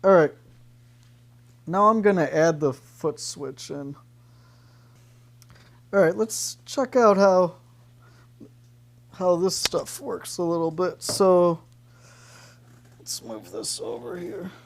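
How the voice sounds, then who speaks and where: A man giving a casual, off-the-cuff gear demo, thinking aloud in a halting way as he goes. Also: sits high, animated, gravelly, background noise. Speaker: gear reviewer and demonstrator. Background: electric guitar.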